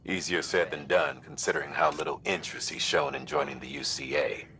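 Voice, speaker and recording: An actor playing a seasoned, supportive mission commander in a video game, briefing in a plain-spoken, folksy way. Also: male voice, rumbling low, steady tone, brisk, bright, forceful tone, heavily acted. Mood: briskly advising.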